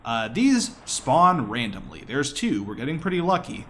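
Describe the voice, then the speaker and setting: A man's voice: mid-range voice, very animated, moderate pace, fairly bright tone, slight background noise. A man giving casual, explanatory live commentary as he speedruns a video game.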